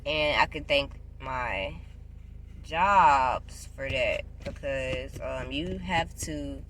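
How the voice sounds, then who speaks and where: A woman in her twenties chatting casually to camera, giving job advice, loud and playful and repeating herself often. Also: extremely low pitch, drawn-out words, a little hiss or hum. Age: 20s. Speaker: vlogger.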